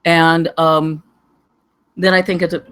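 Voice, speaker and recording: A panelist giving digressive, halting spoken feedback on a script, wandering from point to point. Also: mid-range voice, flat delivery, fairly bright tone, smooth. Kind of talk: rambling spoken critique.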